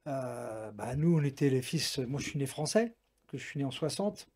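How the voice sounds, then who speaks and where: A man's voice: mid-range voice, big pitch swings, moderate pace, darkish tone, slightly rough. A man in his sixties from France, a boxer and coach speaking frankly and emphatically in a talk-show conversation. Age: 60s. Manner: frank, emphatic, repeats himself for weight. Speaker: Muay Thai boxer and coach.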